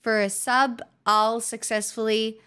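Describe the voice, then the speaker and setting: A woman's voice: on the high side, flat delivery, unhurried, a bit bright, clean tone. A woman hosting a livestream, chatting casually with viewers in a playful, teasing way. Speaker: livestream host.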